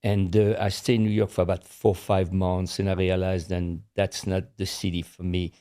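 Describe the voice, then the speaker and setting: A man's voice: low voice, flat delivery, moderate pace, mellow, clean tone. An older man from France with a French accent, speaking frankly as a podcast co-host and repeating words as he searches for English. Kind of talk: casual chat.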